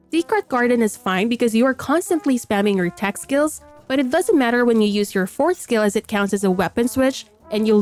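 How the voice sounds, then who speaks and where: A woman's voice: a little high, darkish tone. A woman with a stuffy voice from a cold, explaining a game build in a matter-of-fact voice-over. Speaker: game guide creator.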